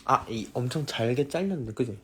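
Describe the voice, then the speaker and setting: A man's voice: medium pitch, expressive, fairly quick, a bit bright, slight background noise. A young man in his twenties, chatting playfully and giggling on a casual live stream.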